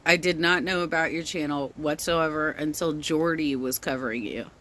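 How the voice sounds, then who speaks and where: A middle-aged woman with some bass to her voice, speaking slowly in a rambling casual rant full of ums. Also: a bit bright, slight background noise. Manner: slow-spoken, rambling with many ums.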